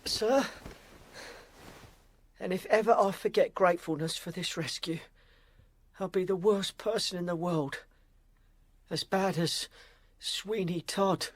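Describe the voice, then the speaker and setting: A woman playing a frightened young apprentice boy, timid, halting and deferential, in a period audio drama. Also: fairly low pitch, dry recording. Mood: anxious.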